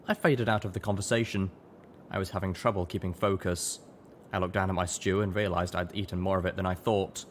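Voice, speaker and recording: A man narrating a first-person story in an expressive audiobook style. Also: sits low, fairly quick, a little hiss or hum. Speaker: audiobook reader.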